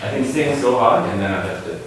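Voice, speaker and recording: A man's voice: medium pitch, fairly quick. A man in a choir workshop candidly explaining his struggles with his voice range. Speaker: choir member. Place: large echoey rehearsal hall. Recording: distant, roomy, noisy hall recording.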